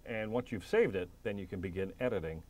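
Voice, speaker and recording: A man's voice: sits low, brisk, darkish tone. A man narrating an instructional tutorial, explaining methodically step by step as an instructor.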